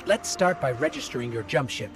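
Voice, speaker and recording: A man's voice: medium pitch, lively intonation, fairly quick, natural voice. A man voicing a small, caring, earnest robot companion who guides the player in a video game. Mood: eager, guiding. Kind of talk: video game dialogue and guiding narration. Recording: game music behind the voice.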